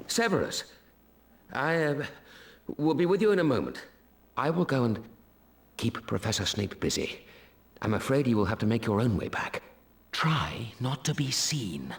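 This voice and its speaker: A man voicing a gentle, weary male wizard teacher, speaking in a calm, kindly, soft-spoken way in a game cutscene. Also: medium pitch, measured pace, creaky, long pauses. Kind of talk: video game cutscene acting.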